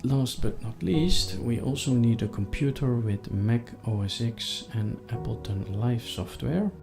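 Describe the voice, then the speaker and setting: A man hosting a step-by-step software tutorial in a deliberate, instructional manner. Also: medium pitch, creaky, over music. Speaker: tutorial host.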